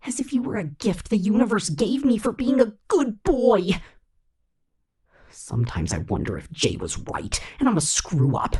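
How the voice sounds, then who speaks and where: A woman's voice: medium pitch, breathy edge, exaggerated voice. A woman voicing a possessive, lovesick young male killer robot in an intimate, coaxing ASMR roleplay monologue. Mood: doting, yearning. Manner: slow, intimate, coaxing whisper.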